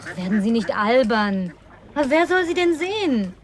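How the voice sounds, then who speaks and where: High-voiced, bossy, playful woman. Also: sing-song, moderate pace. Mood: bossy, teasing. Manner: commanding, teasing, exclamatory. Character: bossy, playful, high-spirited woman among New York's ruins. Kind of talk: German radio play dialogue acting.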